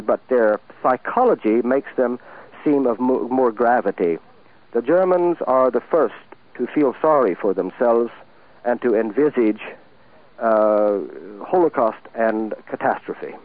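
A man speaks earnestly and deliberately as a guest in a political radio interview. English is not his first language. His mid-pitched voice comes through a thin, tinny broadcast line. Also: expressive. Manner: earnest, deliberate, translating quotes aloud.

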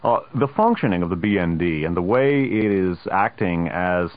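Halting low-voiced radio host. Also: male voice, big pitch swings. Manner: halting, many uhs, wry asides. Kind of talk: political radio interview. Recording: tinny lo-fi radio broadcast.

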